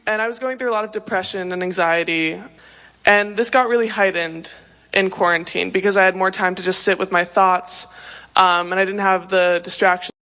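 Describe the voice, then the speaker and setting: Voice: mid-range voice, steady tone, normal speed, pushed and bright. A young adult speaking candidly and reflectively about personal experience, with some hesitation. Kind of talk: personal testimony.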